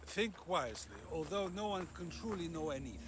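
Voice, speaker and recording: An actor playing a wise old philosopher in a video game, measured, reflective and declamatory. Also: male voice, on the high side, animated, background noise, natural voice. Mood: musing. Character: wise old male philosopher, calm and musing. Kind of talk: dramatic game cutscene dialogue.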